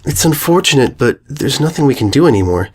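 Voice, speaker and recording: A man voicing a kindly, regretful female pony schoolteacher, gentle and measured with a foreboding calm, in a storybook reading. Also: medium pitch, breathy edge, pitched above the performer's own voice. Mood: sad, ominous.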